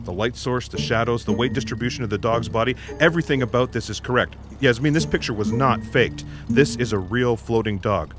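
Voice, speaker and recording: A man acting an unflappable, eccentric ex-spy in a sci-fi comedy audio drama, earnest and literal in a deadpan way. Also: medium pitch, a little fast, hardly any pauses, music in the background, close to an everyday voice. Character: unflappable, eccentric male ex-spy fixated on odd clues.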